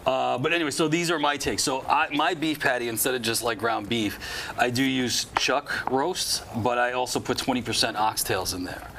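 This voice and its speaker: A man, a chef guest telling stories quickly and enthusiastically in a studio interview. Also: medium pitch, vocal fry, pushed and bright, a little hiss or hum. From New York. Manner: enthusiastic storyteller, quick, many fillers. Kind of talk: casual interview chat.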